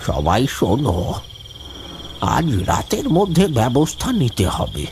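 Man acting a grave, slow village man. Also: mid-range voice, huge pitch swings, noisy background. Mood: urgent, grave. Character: grave, anxious village man warning others. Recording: music behind the voice.

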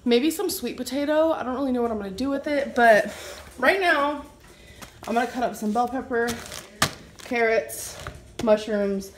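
A woman's voice: a little high, echoey room, a little hiss or hum. A young woman vlogging casually at home in her kitchen, talking into a handheld phone camera and laughing easily. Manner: chatty, quick to laugh. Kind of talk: casual home vlog chat.

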